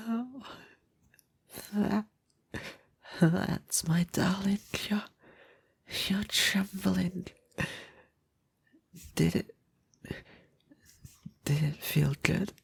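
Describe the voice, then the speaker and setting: An unhinged, lovesick male captor acted by a man murmurs in an intimate ASMR roleplay. His voice is extremely breathy and husky, often sinking to a whisper, and recorded very dry and close. He drags his words out slowly and haltingly, doting and threatening at once. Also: sits high, dry recording, heavily acted. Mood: breathless awe. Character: obsessive, menacing, lovesick yandere kidnapper, man.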